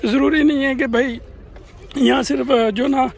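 High-voiced guy walking an outdoor market, chatty, phone mic. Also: steady tone, moderate pace, gravelly. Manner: chatty, keeps addressing viewers as "janab". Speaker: vlogger. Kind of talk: walking market tour vlog. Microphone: phone camera held by the walking speaker.

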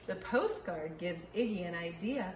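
A middle-aged woman preschool teacher reading and teaching to young children in a clearly enunciated, sing-song way, recorded in a room from a few feet away. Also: fairly low pitch, animated, measured pace, echoey room, noisy background. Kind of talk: children's story reading and letter lesson. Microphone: camera a few feet away.

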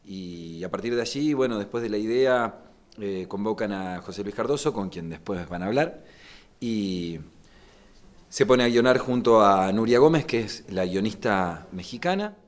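Argentine male producer, 40s, interview explanation, low pitch, searching delivery. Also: animated, moderate pace. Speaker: radio producer. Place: small wood-panelled room indoors. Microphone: microphone just in front.

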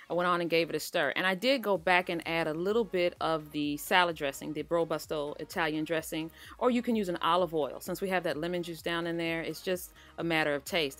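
A woman talks viewers through her home cooking in a relaxed, friendly voice-over. Her voice sits on the low side, and she keeps a chatty, conversational flow. Faint music plays underneath. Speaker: cooking channel host. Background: faint background music.

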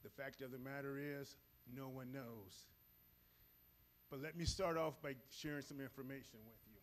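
A man's voice: medium pitch, normal speed. Man presenting in a large auditorium. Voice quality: loud voice. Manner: energetic, moves around the stage while talking. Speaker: safety training facilitator. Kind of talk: live presentation to an audience. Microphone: handheld microphone.

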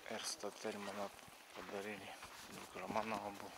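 A man's voice: lowish voice, level tone, moderate pace, creaky. A man giving casual on-the-spot commentary in short, repetitive remarks, filming outdoors as a travel vlogger. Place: outdoors in a snowy park. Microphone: handheld camera microphone. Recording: very noisy outdoor camera recording.

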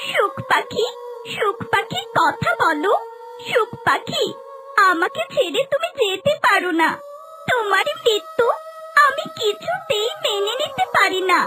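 A woman's voice: very high-pitched, very animated, unhurried, over music, full character voice. A woman voicing a grieving female talking bird in a cartoon fairy tale, pleading in plaintive repetitions.

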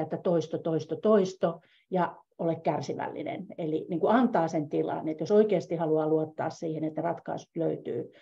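A middle-aged woman speaks from a room at home over a video call, and her voice is low and gravelly. She talks in a reflective way, circling back over her words as she thinks. The audio is dull and lo-fi. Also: dark tone. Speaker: meetup participant. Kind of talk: casual discussion.

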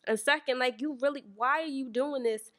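A woman's voice: high-pitched, a little fast. A young woman from Spanish Harlem with a New York accent, talking bluntly and with energy as a podcast guest. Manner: blunt, animated storytelling. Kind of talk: casual chat. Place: small stage studio, indoors. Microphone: stand mic.

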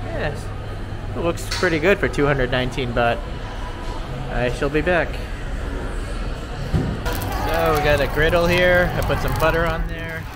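A man vlogging casually as he walks through a busy barbecue buffet, listing the food in a relaxed way. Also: fairly high pitch, unhurried, pauses often, noisy background. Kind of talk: casual walk-through narration.

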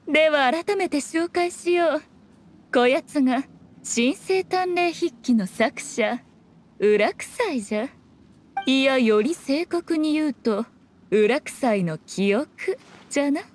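An actress playing a sly, regal fox shrine maiden in an anime game, with haughty, amused, archaic speech. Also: female voice, high voice, expressive, takes it slowly, long pauses. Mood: composed, explaining. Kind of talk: Japanese anime game voice acting.

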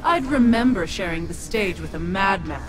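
A woman's voice: mid-range voice, animated, normal speed, lightly acted, rough recording. A woman playing an evil, ancient witch in a video game, sinister and mocking. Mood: scornful. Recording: loud game music underneath.

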